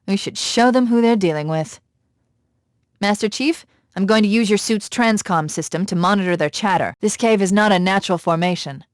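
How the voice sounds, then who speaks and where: A quick-witted female AI companion from a military video game, played by a woman, speaks in a very dry recording at a medium pitch. She is crisp and analytical, giving commanding advice with calm urgency. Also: dry recording, close to an everyday voice. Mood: brisk, focused.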